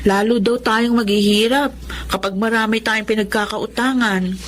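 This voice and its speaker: A woman's voice: a little high, fairly even intonation, moderate pace, fairly bright tone, slight background noise, lightly acted. A young woman acting a humble, dutiful housemaid from a poor family in a Filipino melodrama, speaking politely and deferentially. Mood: caring. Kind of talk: Filipino melodrama acting with first-person narration.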